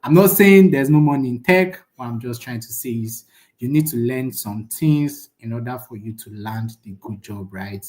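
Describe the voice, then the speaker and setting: A man's voice: medium pitch, moderate pace. A young man teaching a live online class in a chatty, casual way, often checking in with the audience. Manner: chatty, frequent "right?" tags, lively jumps in loudness. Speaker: online course instructor.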